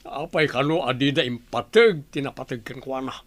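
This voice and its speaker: A man's voice: medium pitch, normal speed, fairly bright tone, close to an everyday voice. A man with an Ilocano accent playing a plain-spoken village family man in a radio drama. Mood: grumbling.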